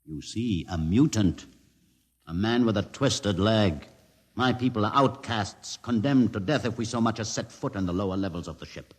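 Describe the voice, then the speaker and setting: A man playing a grave, deep-voiced mutant leader. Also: expressive, close to an everyday voice. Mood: earnest. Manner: slow, grave, dramatic storytelling. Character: aged mutant leader, lame, solemn and wise. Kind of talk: 1950s radio drama acting. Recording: old radio broadcast with long reverb.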